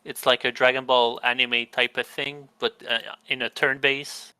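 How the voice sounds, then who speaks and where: A man's voice: medium pitch, flat delivery, normal speed, bright, forceful tone, clear, resonant tone, no room sound. A young man hosting a gaming podcast, describing games in a rambling, casual way with many restarts. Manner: rambling, restarts mid-sentence. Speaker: podcast host. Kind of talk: casual chat.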